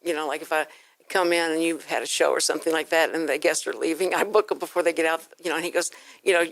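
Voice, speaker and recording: A woman's voice: low voice, fairly even intonation, fast, vocal fry. A woman hosting a casual radio interview in a studio, chatty and playfully self-deprecating, rambling through her questions close to the mic. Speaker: radio show host.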